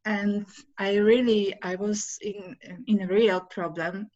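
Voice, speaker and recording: A middle-aged woman with a Polish accent, speaking English as a second language, answering interview questions on a video call with halting speech and many fillers. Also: mid-range voice, steady tone, a little mellow. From Poland. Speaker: course graduate, interview guest. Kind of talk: casual interview chat. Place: home room, video call. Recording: dull lo-fi video call audio.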